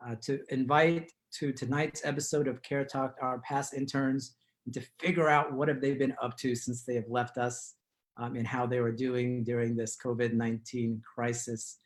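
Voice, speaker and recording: A man with an average-pitched voice hosts a community talk show from his living room over a video call. He introduces and questions guests in a formal, measured announcer's way, leaving pauses as he goes. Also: steady tone. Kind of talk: scripted-sounding show introduction and interviewing.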